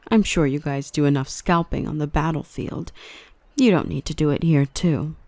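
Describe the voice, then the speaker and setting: A woman playing a dominant yet protective dark elf mistress in an ASMR roleplay, by turns cutting and tender. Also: low-pitched, normal speed, no room sound. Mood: cool, suspicious. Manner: stern and cutting to traders, tender and coaxing to the human. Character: dominant female dark elf, protective, gentle with her rescued human.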